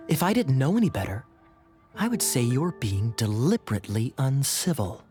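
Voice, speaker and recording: A man playing a sly, charming young nobleman who taunts his brother with a smooth, sardonic manner. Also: medium pitch, very animated, measured pace, a little mellow, faint music behind. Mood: taunting. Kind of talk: video game dialogue.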